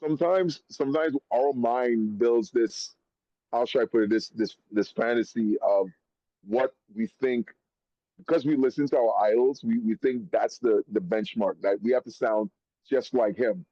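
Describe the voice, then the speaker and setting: A man from Trinidad, a rapper on a podcast, talking animatedly and full of slang. His voice sits at an everyday middle pitch. The recording is dull and very dry, like a lo-fi mic in a dead room. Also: moderate pace, no room sound. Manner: animated, slang-heavy, calls host bro. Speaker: rapper guest. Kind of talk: casual podcast chat.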